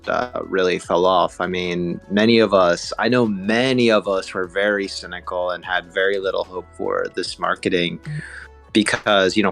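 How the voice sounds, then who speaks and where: Alçak sesli erkek podcast sunucusu. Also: male voice, sits low, creaky, fairly bright tone. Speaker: podcast co-host. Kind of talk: casual fan podcast discussion.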